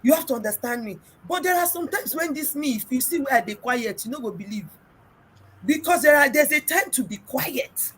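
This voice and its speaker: A middle-aged woman with a Nigerian accent and a big, loud voice, hosting a casual relationship talk and telling stories emphatically. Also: high-pitched, lively intonation, normal speed, breathy edge, poor audio. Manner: emphatic, storytelling, mixes in pidgin. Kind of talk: casual group discussion.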